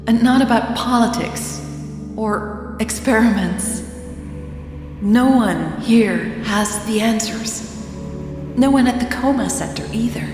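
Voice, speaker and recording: Woman acting a slow, earnest, pleading peacemaker. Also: mid-range voice, echoey room, in a large hall, lightly acted. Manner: slow, beseeching, emphatic, repetitive. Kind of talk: dramatised audio fiction speech.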